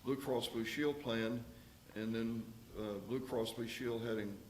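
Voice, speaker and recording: Man chairing a county meeting: folksy, halting, in a roomy, slightly noisy recording. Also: mid-range voice, steady tone, darkish tone. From Texas. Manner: halting, many fillers and restarts, folksy. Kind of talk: public meeting remarks. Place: county commissioners court room. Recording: echoey room mic with faint noise.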